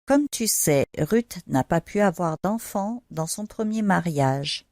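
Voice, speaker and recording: A woman's voice: lowish voice, animated, takes it slowly, a little mellow, dry recording, clean studio sound. A woman storyteller narrating Bible stories for children in simple, didactic language, speaking directly to the young listener.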